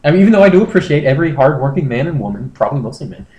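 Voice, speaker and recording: A man's voice: on the high side, big pitch swings, racing, a little mellow. A man hosting a comedy podcast, casually reading internet posts aloud with wry, crude asides. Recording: roomy echo, faint noise.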